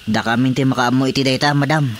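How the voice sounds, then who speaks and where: Simple village husband, mid-pitched played by an actor. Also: male voice, fairly even intonation, quick pace, a little hiss or hum, lightly acted. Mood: agreeable. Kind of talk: Ilocano radio drama comedy acting.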